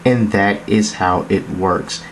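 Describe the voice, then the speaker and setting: A man's voice: deep voice, takes it slowly, rough recording. A man casually narrating a tech demo in an informal, explanatory, conversational way, recorded indoors. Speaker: app demonstrator. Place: indoors, phone demo on a wooden table. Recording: noticeable background noise.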